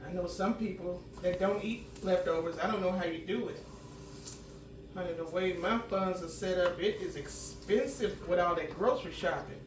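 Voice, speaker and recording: A woman, slightly hoarse from a cold, chatting homily as she cooks and clearing her throat now and then. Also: fairly low pitch, normal speed, background noise. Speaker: home cooking channel host. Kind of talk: casual cooking chat. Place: home kitchen. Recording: roomy kitchen sound from a distant mic.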